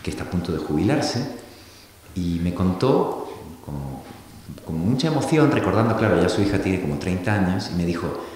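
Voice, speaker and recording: A middle-aged man from Argentina giving a reflective public talk, thoughtful and halting, often restarting his sentences. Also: low voice, animated, darkish tone. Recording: echoey hall recording from a distance with faint hiss.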